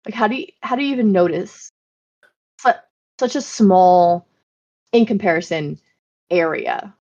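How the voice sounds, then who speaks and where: A woman's voice: on the low side, unhurried. Lo-fi American woman, casual podcast host. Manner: animated, informal, sweary. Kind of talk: casual explanatory podcast chat.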